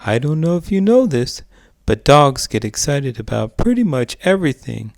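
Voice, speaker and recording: A man's voice: mid-range voice, big pitch swings, moderate pace, vocal fry, no echo, pitched above the performer's own voice. A man voicing a talking dog sidekick who gives dry, matter-of-fact comments on a children's read-aloud show. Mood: dry, wry. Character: Rex, talking dog sidekick, dryly wise.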